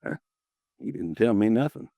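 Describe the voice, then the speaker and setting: A man's voice: fairly low pitch, animated, normal speed, vocal fry, warm tone, no room sound. Chatty insurance man in his fifties, folksy, voice bobs up and down a lot, dry studio sound. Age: 50s. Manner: folksy, chatty, easily riled. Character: stocky red-faced insurance agent in his late fifties, folksy. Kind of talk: 1950s radio drama acting. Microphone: close studio mic, dry.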